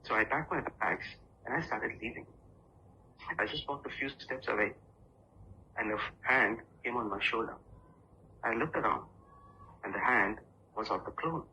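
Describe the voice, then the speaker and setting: Bright-voiced man giving a theatrical storytelling speech. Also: mid-range voice, slight background noise, poor audio. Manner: theatrical, with dramatic pauses and emphasis. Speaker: contest speaker. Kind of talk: rehearsed humorous storytelling speech.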